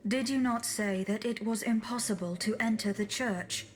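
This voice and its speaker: A woman playing a calm, dutiful automaton bodyguard in a video game, speaking in a measured, formal way. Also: on the high side, close to an everyday voice. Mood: composed, resolute. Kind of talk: video game cutscene acting. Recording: background noise.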